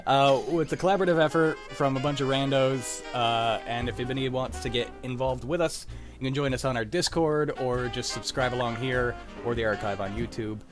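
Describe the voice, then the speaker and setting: A man's voice: mid-range voice, fairly quick. A young man hosting a casual livestream chat in an informal, sweary way. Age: young adult. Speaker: host. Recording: music behind the voice. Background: music.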